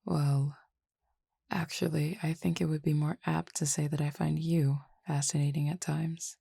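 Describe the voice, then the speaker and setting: A woman voicing a calm cupid roommate who feeds on desire, in an audio roleplay spoken to the listener, formal, measured and quietly teasing. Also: low voice, vocal fry, breathy edge, no room sound, studio quality, heavily acted. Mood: languid teasing.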